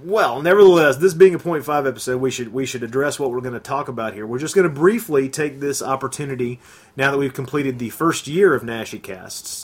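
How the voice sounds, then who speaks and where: A man hosting a podcast in casual chat, with a bit of sinus congestion, rambling with a self-deprecating manner. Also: medium pitch. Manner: rambling, self-deprecating, many fillers and restarts. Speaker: podcast host.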